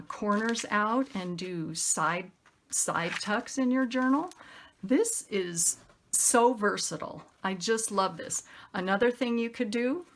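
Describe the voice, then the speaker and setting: A woman's voice: mid-range voice, a bit bright, a little hiss or hum. A woman hosting a craft tutorial in a casual, chatty style, upbeat and effusive, thinking aloud as she works.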